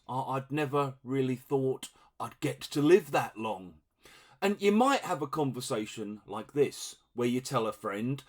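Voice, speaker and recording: A middle-aged man explaining vocabulary to learners in a relaxed, conversational way, acting out short example dialogues. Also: mid-range voice. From England. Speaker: English teacher.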